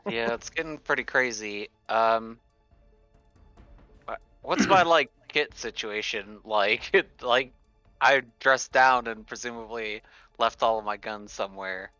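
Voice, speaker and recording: Bright-voiced man on dull lo-fi audio. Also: mid-range voice, a little slow, clean tone, no room sound, soft background music. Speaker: player. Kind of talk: casual tabletop game chat.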